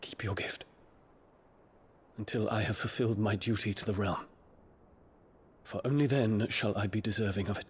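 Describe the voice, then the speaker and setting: A man's voice: on the low side, steady tone, moderate pace, pauses often, slightly rough. A man playing an earnest, dutiful royal heir in a video game, formal and restrained. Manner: formal, restrained, hushed at times. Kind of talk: dramatic video game acting. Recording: faint background ambient noise.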